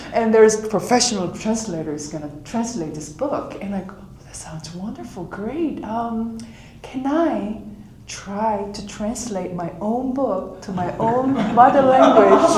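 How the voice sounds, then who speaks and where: A woman's voice: medium pitch, darkish tone, reverberant, a little hiss or hum, poor audio. A woman speaking English with a Korean accent, giving a live author talk and telling personal stories in a reflective way, sometimes acting out her own inner voice.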